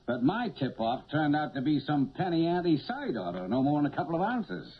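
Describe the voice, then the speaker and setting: A man's voice: fairly high pitch, sing-song, moderate pace, husky. An actor playing a brusque, slippery male narcotics cop in a 1970s radio drama. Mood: hearty, chummy. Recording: dull old radio broadcast.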